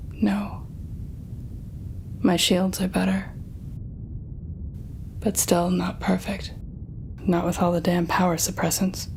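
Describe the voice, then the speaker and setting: A woman acts out a weary female supervillain in an audio drama. She speaks slowly and directly to one listener, bitter but fair, with a wry, dramatic edge. There is a faint rumbling noise behind her. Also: creaky, darkish tone. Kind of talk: audio roleplay acting.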